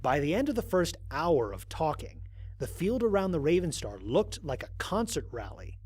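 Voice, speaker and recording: A man playing a wry, grumbling human astronaut who records mission logs in an audio drama, speaking in a conversational, expressive way. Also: fairly high pitch, normal speed, breathy edge. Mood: reflective. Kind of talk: dramatized first-person log narration.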